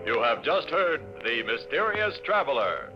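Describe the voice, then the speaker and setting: A man's voice: mid-range voice, big pitch swings, a little slow. A man reading scripted announcements in a formal, polished 1950s radio style. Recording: muffled vintage radio, music behind.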